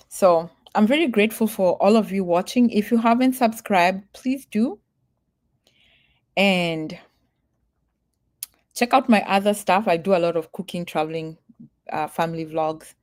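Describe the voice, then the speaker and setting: A woman's voice: lowish voice, a little slow, long pauses, darkish tone. A woman from Kenya talking casually to her livestream viewers, earnest and emphatic, repeating her warnings and laughing easily. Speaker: vlogger.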